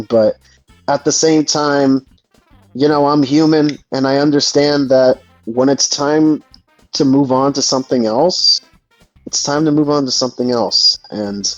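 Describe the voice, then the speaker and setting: A man chats casually and reflectively, feeling for his words as he goes. His medium-pitched voice is recorded dry and a bit muffled, with music playing underneath. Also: no echo. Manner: hesitant, reflective, searching for words. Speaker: host of a gaming discussion. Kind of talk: casual discussion. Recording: dull lo-fi mic, music underneath. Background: background music.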